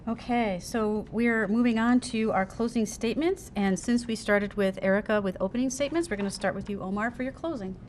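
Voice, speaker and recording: A woman moderator with a slightly higher voice reads out audience questions and calls on candidates. She sounds a bit away from the mic and soft, with a faint rumble underneath. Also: brisk, few pauses. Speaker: forum moderator.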